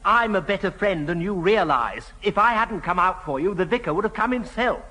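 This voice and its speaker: A man's voice: sits high, lively intonation, a little fast, pushed and bright, full character voice, poor audio. A man playing a fussy, hesitant, well-meaning churchgoing neighbour in a 1970 British radio sitcom, with a prim manner. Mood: earnest. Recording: hissy old radio recording.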